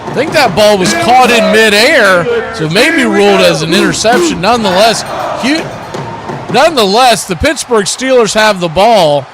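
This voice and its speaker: A man's voice: high-pitched, big pitch swings, a little slow, over loud music, over a noisy crowd. A man calling live football action as a play-by-play commentator.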